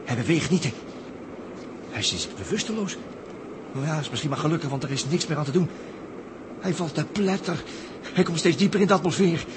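An excitable male astronaut acted by a man in an early-1960s Dutch radio play, urgent and exclamatory. His highish voice leaps about a great deal, over clear background noise on an old recording. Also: fairly quick, pauses often, natural voice. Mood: alarmed. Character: excitable male astronaut anxiously watching a crewmate crash.

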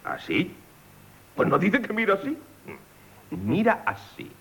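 Pompous Spanish marquis, wildly swooping delivery. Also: male voice, high-pitched, huge pitch swings, slow, creaky, fairly bright tone, exaggerated voice. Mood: admiring, boastful. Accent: Castilian Spanish. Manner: pompous, indignant, repeats himself. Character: pompous impoverished marquis, proud of his nobility, jealous suitor. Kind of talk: theatrical 1970s radio comedy acting.

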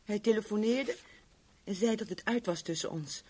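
A woman's voice: mid-range voice, normal speed, dark tone, husky, close to an everyday voice. An actress playing a cheeky, affectionate young mother in a Dutch radio play, playful and frank. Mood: frank, casual.

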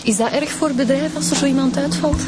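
A woman's voice: high-pitched, quick pace, darkish tone, slight background noise, natural voice. A woman playing an eager, inquisitive police detective with a Flemish accent, informal and polite, in a radio drama. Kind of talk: naturalistic radio drama acting.

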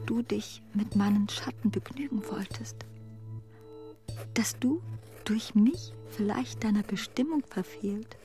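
A woman giving a literary reading of intimate letters in a soft, breathy, often near-whispered manner. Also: medium pitch.

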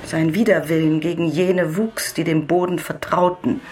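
A woman acting in a radio drama as a serious narrator who recounts a writer's decline in measured, articulate sentences. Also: low voice, fairly even intonation, a little mellow, close to an everyday voice. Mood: sober, intent.

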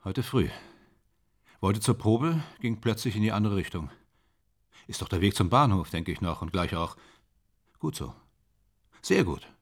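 Weary theatre player, radio play: lowish voice, animated melody, clipped and wryly fatalistic. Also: male voice, unhurried, long pauses, husky, exaggerated voice. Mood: wry, restless. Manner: clipped, ruminative storytelling. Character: weary, wryly fatalistic male stage actor. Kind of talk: German radio drama dialogue.

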